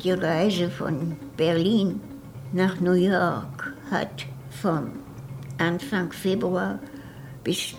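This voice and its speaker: Elderly woman, very slow and halting. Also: fairly low pitch, fairly even intonation, music in the background. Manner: halting, terse short phrases. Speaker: interviewee, pianist. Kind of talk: reminiscing in conversation.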